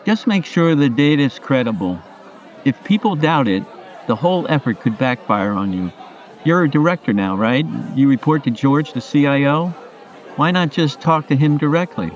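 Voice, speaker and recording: A man's voice: fairly high pitch, expressive, normal speed, dull audio, a faint crowd, lightly acted. A man playing a supportive, pragmatic friend in a radio play, questioning and advising conversationally. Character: Jerry, supportive, pragmatic male friend giving advice.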